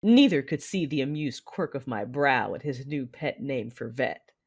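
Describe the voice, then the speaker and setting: A woman playing a commanding, calculating warlord mother, giving measured first-person narration in an audio drama. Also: very deep voice, animated, darkish tone, breathy edge, full character voice. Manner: measured, imperious.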